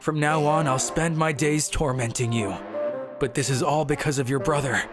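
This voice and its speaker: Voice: medium pitch, steady tone, normal speed, over loud music, close to an everyday voice. A performer voicing a brooding, cowardly teenage schoolboy who narrates a manga story in a melodramatic, scheming way. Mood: brooding, vengeful. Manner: melodramatic, sinister chuckling schemer.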